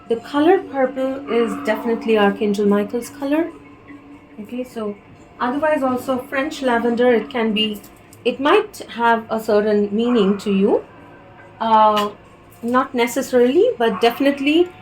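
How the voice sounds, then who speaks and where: A woman's voice: fairly high pitch, poor audio. Indian woman giving a hesitant tarot reading. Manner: hesitant, many fillers and restarts. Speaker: tarot reader.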